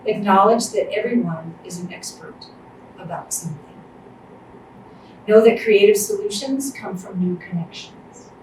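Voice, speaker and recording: A woman facilitating a circle, reading a poem aloud in a calm, deliberate way. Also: mid-range voice. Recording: echoey room, distant mic, faint noise.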